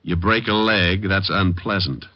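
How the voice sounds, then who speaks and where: Low-voiced, deadpan tough private eye. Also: male voice, unhurried, no echo. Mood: calm menace. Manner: deadpan, sardonic. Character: tough, wisecracking male private detective, coolly menacing. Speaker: actor playing detective and narrator. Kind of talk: old-time radio detective drama acting. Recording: muffled, dry vintage radio recording.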